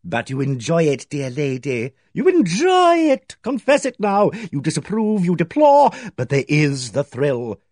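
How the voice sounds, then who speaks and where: A man reading aloud, voicing an effusive, fussy older male village gossip who talks in a theatrical, drawn-out way. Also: on the high side, big pitch swings, darkish tone, no room sound, studio quality. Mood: gushing.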